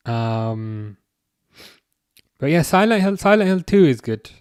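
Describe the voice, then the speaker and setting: A man hosting a live watch-along stream, chatting casually with viewers in a relaxed, hesitant way with plenty of fillers. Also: a little high, takes it slowly, clear, resonant tone, no echo, studio quality. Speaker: livestream host reading chat.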